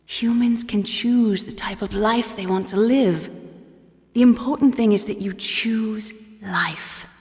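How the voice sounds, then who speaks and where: An actress playing a gentle, solemn woman in a video game drama, speaking in an earnest, measured way. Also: medium pitch. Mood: solemn, tender. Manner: slow, earnest, measured delivery. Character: gentle solemn woman giving parting life advice. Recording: long hall reverb.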